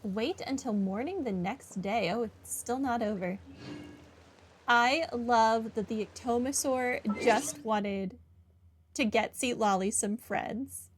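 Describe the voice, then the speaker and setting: A woman's voice: fairly high pitch, takes it slowly, a little hiss or hum. A woman hosting a gaming playthrough, chatting casually with wry asides and reading prompts aloud. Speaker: gaming video host. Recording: game music behind the voice. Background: video game music.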